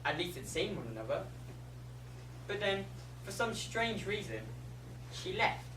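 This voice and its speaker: A man's voice: sits high, sing-song, measured pace. A man acting a lonely, scheming ten-year-old schoolboy, earnestly confiding in the listener in a stage drama. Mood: determined, scheming. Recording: echoey room from far off, loud noise.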